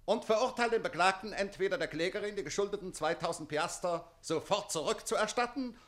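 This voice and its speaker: An actor playing an officious, fussy presiding judge in a comic radio play, speaking formally. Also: male voice, sits high, a little fast, fairly bright tone. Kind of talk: German radio play comedy acting.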